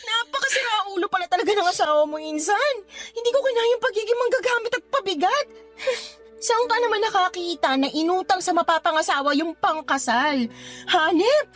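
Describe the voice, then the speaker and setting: A very high, sassy female voice from an actress as a protective cousin in a radio drama. She is blunt and indignant, with faint music behind her. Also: brisk, fairly bright tone. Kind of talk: Tagalog radio drama dialogue.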